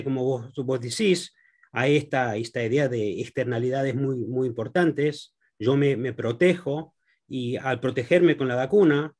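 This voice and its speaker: A man who grew up in Argentina, a guest economist in an interview, speaking haltingly with many fillers and restarts. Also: mid-range voice, darkish tone. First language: Spanish.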